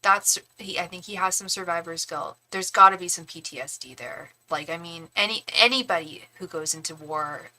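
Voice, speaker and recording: A young woman with a bright, edged voice gives a casual psychic reading on a live stream. She rambles, restarts and speaks straight to her viewers in a chatty, conversational way. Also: on the low side, moderate pace, hardly any pauses, low-quality recording. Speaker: tarot reader and psychic.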